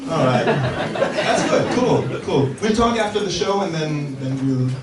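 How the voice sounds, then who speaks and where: A man's voice: a little high, lively intonation, measured pace. A man hosting a comedy show, teasing the audience and chuckling often between lines. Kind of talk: stand-up crowd work. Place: comedy venue with a live audience. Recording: echoey room, mic far off, loud crowd.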